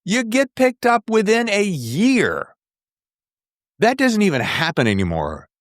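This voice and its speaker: A man in his sixties hosting a podcast, chatting casually with effusive, excitable fan enthusiasm. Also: sits high, measured pace, dry recording, studio quality. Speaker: podcast host. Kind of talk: casual interview chat.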